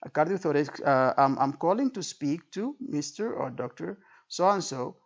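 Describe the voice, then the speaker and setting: Creaky-voiced male tutor, dull recording. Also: fairly high pitch, lively intonation, moderate pace. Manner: didactic, repetitive, checking understanding with frequent okay and right. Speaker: medical exam tutor. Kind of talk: informal spoken lecture with role-played example phrases.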